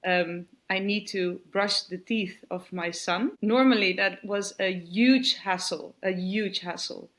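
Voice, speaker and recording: A woman's voice: mid-range voice, takes it slowly, hardly any pauses, a bit bright. A woman, reflecting on a retreat in an interview, searching for words with frequent restarts. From Netherlands. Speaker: retreat participant.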